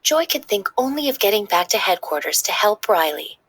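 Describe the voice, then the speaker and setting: A woman reading a children's story aloud in expressive, scripted narration. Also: mid-range voice, a little fast, breathy edge.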